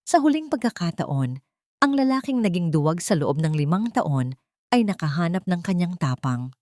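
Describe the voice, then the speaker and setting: A woman's voice: fairly low pitch, big pitch swings, normal speed, darkish tone, dry recording. A woman reading a scripted dramatic audio story as narrator, in a solemn, melodramatic manner.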